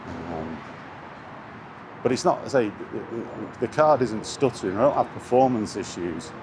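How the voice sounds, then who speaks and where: A man's voice: fairly low pitch, animated, moderate pace. A man giving a casual, rambling vlog chat in a hesitant, laid-back way, recorded on a handheld camera outdoors. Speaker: gaming YouTuber. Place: outdoors, walking near traffic. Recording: noisy outdoor recording with urban traffic. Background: outdoor urban and vehicle noise.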